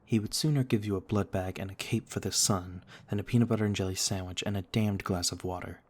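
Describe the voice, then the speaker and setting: Male actor as courtly ancient vampire for ASMR roleplay: deep, restrained in pitch movement, gentle and reassuring. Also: steady tone, fast talker. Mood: gently reassuring. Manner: courtly, formal, soothing, reassuring. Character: gentle noble male vampire, over a thousand years old, looks thirty-two.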